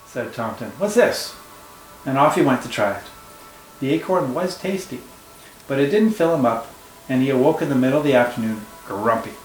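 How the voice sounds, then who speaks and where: A man, a teacher, reading a picture book aloud to children with expressive storytelling and friendly asides. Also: medium pitch. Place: room at home. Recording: echoey room with faint ambience.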